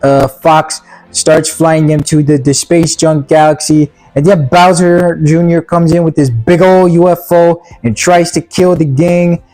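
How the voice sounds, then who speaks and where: A man giving a casual, rambling movie review in a halting, stammering way, with a sarcastic, mock-earnest streak. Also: a little high, fairly even intonation, warm tone, soft background music, low-quality recording. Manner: halting, stammering delivery with repeated words. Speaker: video reviewer.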